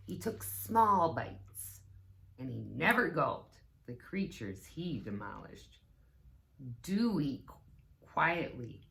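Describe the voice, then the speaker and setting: A woman with a low voice reads a children's picture book at a slow pace. She phrases things expressively and deliberately, adding little asides for young listeners. There is a clear layer of background noise in the recording. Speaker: teaching assistant reading a picture book aloud. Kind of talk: children's story time read-aloud with asides.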